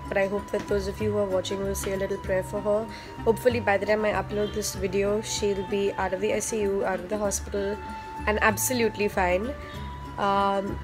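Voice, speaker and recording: A young woman, chatting to camera in a casual vlog, upbeat and full of "like" and "um". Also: medium pitch. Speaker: vlogger.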